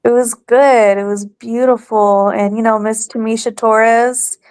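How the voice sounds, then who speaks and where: Woman, co-host, slow and unhurried, smooth clear voice, upbeat. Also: on the high side, little pitch movement, a little mellow, no room sound. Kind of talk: casual chat.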